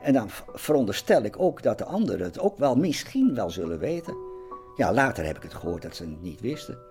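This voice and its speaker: A man's voice: on the low side, very animated, a little fast, darkish tone, faint music behind. A man reflecting on a personal memory in conversational speech.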